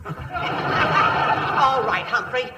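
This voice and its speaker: A woman's voice: slow pace, bright, forceful tone. An actress playing a bossy, gushing, social-climbing frontier mother with an American accent and folksy talk dressed up as refined, in radio comedy. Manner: folksy dialect with affected refinement. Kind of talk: 1950s radio comedy acting. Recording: noisy old radio broadcast.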